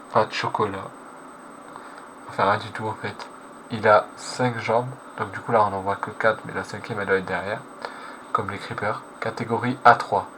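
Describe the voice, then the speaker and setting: Low-voiced man casually reacting, noisy background. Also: sharp tone. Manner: slangy, hesitant, self-correcting. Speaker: reaction video host. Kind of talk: casual live reaction commentary, translating on the fly.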